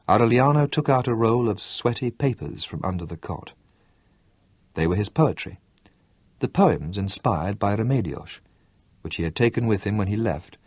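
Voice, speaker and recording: A man narrating a novel as an expressive audiobook reader. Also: fairly low pitch, dry recording. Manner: expressive storytelling reading. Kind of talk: scripted narration.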